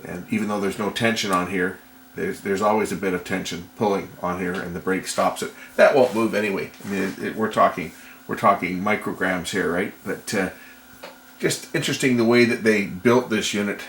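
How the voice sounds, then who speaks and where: Male workshop vlogger, fry-heavy and fairly deep, musing tentatively through a hands-on repair. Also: fairly even intonation, fairly bright tone. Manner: thinking aloud, tentative and unhurried. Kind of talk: casual hands-on repair commentary. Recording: noticeable background noise.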